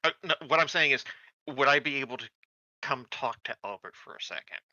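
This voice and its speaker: A man's voice: mid-range voice, a little fast, sharp tone, dry recording, highs cut off. A man playing a tabletop roleplaying game, chatting casually and hesitantly, often repeating words as he gets to his point.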